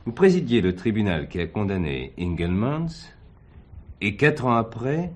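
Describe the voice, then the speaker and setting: An actor playing a courteous, inquisitive nephew who questions an elder politely in a drama. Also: male voice, on the low side, very animated, moderate pace. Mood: probing, courteous. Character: probing, courteous nephew questioning his uncle.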